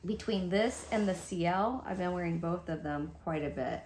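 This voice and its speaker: A woman's voice: lowish voice, normal speed, darkish tone, slight background noise. Chatty congested woman in echoey room. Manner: chatty, upbeat, thinking aloud while applying makeup. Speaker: beauty vlogger. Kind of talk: casual makeup tutorial chat.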